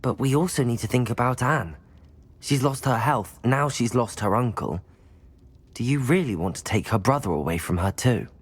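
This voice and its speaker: A man's voice: medium pitch, lively intonation, slightly rough. A man voicing a level-headed, supportive young student wizard with a British accent in a video game. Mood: earnest persuasion. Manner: measured, reassuring. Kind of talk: video game cutscene dialogue.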